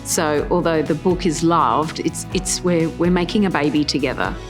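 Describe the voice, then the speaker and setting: A woman's voice: low-pitched, moderate pace, music in the background. A woman chatting casually in an interview.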